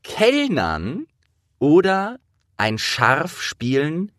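A man's voice: on the high side, huge pitch swings, extremely slow, fairly bright tone, no echo, clean studio sound. A man hosting a casual interview, talking informally and explaining things as he thinks aloud. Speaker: interviewer and host.

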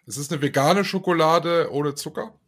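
A man's voice: fairly high pitch, moderate pace, a bit bright. A man co-hosting a podcast, a former radio presenter chatting casually with dry, understated humor. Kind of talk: casual chat.

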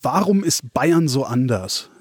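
A man's voice: mid-range voice, expressive, measured pace, husky, no room sound, clean studio sound. Man asking short interview questions.

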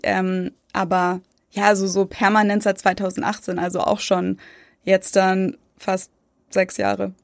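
A woman's voice: mid-range voice, dry recording, studio quality. A young woman from Germany, a content creator, chatting casually in an interview with many fillers. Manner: chatty, many fillers, mimics others in quotes.

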